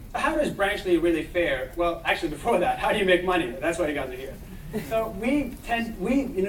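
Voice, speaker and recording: A young man from Israel giving an eager startup pitch, talking fast and restarting his phrases. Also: slight background noise. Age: young adult. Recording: echoey hall, mic from far off.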